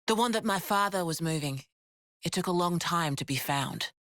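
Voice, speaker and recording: An actress playing a frank, steady female resistance leader in a video game, with plain-spoken, measured storytelling. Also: lowish voice, dry recording, clean studio sound. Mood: solemn explaining. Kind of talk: video game cutscene acting. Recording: music behind the voice.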